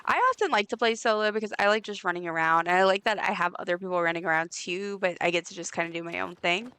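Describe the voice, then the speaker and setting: A woman with a raspy voice chatting casually while streaming a game, rambling with lots of ums and likes. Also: fairly low pitch, steady tone, quick pace, dry recording. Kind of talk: casual live gameplay chat. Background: soft game music.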